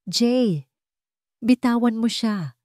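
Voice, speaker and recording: Woman as devoted male hero, protective, calm, tender, romance audio drama, dry recording. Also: on the high side, drawn-out words, dry recording, voice pushed up. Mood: menacingly calm.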